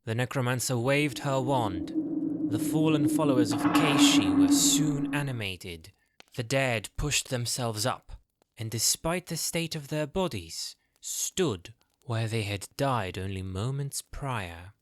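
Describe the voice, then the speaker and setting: A man's voice: medium pitch, expressive, slow pace, dry recording. A man narrating a scripted fantasy audio drama in a dramatic storytelling style.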